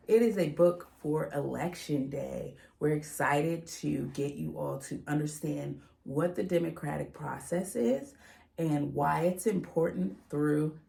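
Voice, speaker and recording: An American woman reads a picture book aloud as a teacher would. Her pace is very slow and deliberate, and her fairly low voice has a gravelly creak. She sounds instructive and enunciates for young learners. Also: mellow. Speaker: educator and host.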